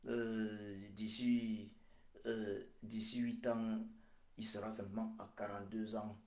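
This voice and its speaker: A man, giving a slow, repetitive prophetic address full of hesitations. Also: on the low side, little pitch movement, a little mellow, echoey room. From Cameroon. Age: 40s. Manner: slow, repetitive, hesitant with many pauses and fillers. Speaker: political speaker.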